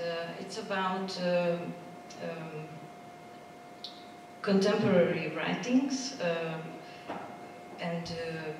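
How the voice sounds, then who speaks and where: A woman speaks at a symposium in a slow, halting way, often searching for words. Her voice sits a little low. She is heard from a distance in a large, very echoey theater hall with clear background noise. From Romania. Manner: halting, searching for words in a non-native language. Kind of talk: unscripted conference presentation.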